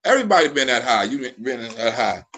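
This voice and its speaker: A man's voice: medium pitch, very animated, fast talker, bright, forceful tone. A man in his forties hosting a live stream, telling loose, profane stories full of restarts. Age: 40s. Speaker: live show host. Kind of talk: casual live-stream chat.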